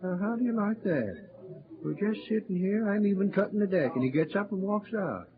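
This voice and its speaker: An excitable, poker-loving prospector in an old radio western. His American voice sits fairly high and swoops all over in folksy, chuckling talk. The recording is dull, with a saloon crowd behind him. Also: brisk. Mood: baffled, disgruntled. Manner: folksy, colloquial, chuckling, animated. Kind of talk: 1940s-50s radio drama acting.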